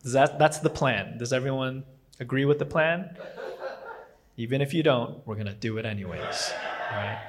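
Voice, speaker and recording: A man's voice: mid-range voice, moderate pace, noisy background. A man, not a native English speaker, preaching a casual sermon in a rambling way, often stopping to correct himself. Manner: rambling, self-correcting, with frequent fillers and restarts.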